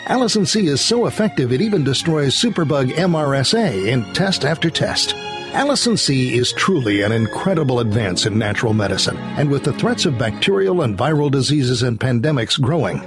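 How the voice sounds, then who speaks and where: A man reads a radio advertisement in a punchy, emphatic sales voice. His pitch swings widely as he stresses each claim. Music plays clearly behind him. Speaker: ad announcer. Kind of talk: scripted radio ad read.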